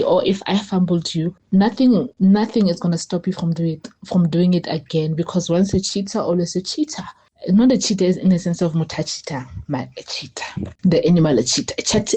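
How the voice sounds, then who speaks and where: A young South African woman gives her opinion in a voice note with easy confidence and a playful edge. Her voice sits low and mellow, never sharp. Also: husky, rough recording. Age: young adult. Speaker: student sending a voice note. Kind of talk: recorded voice note.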